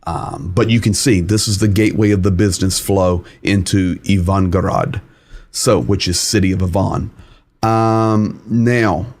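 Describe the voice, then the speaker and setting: A man's voice: low-pitched, steady tone, unhurried, darkish tone. A man hosting a live news-commentary stream, talking casually and rambling while walking viewers through a map. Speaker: host.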